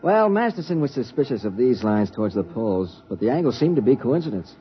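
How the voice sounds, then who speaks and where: A man acts an efficient, eager space officer in an old radio play. He reports crisply in a medium-pitched voice. The sound is dull, with a faint layer of noise. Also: sing-song, fast talker, lightly acted. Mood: upbeat, reporting. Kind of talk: 1950s radio drama acting. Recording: dull, faintly noisy 1950s radio recording.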